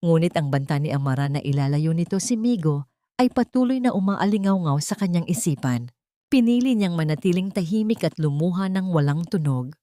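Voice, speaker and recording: Woman reading a dramatic audio story, lowish voice, dry studio-like sound no echo. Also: animated, brisk, warm tone. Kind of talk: dramatic scripted story narration.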